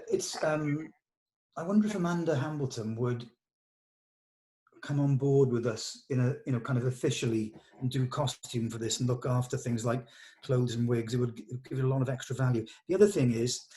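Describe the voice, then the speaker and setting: A man's voice: mid-range voice, darkish tone. A man talking casually in a meeting, organising things with a group.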